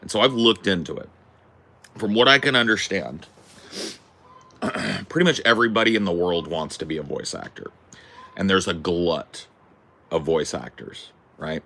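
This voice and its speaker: A man with a deep, distinctive voice talking in a casual, rambling way, opinionated and emphatic, swearing freely. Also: moderate pace, long pauses, sharp tone. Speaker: host.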